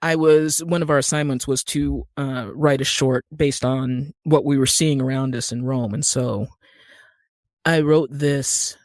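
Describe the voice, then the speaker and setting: A woman's voice: extremely low pitch, fairly even intonation, a little slow, a little mellow, no room sound, clean studio sound. A middle-aged American woman telling stories conversationally and emphatically as an interview guest. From Utah, United States. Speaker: podcast guest, screenwriter and actor.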